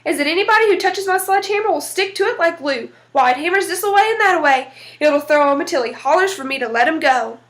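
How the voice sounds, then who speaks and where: A woman reading aloud, voicing a mean, cantankerous old male blacksmith with a gruff, drawling folksy manner. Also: extremely high pitch, animated, quick pace, a bit bright. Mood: scheming.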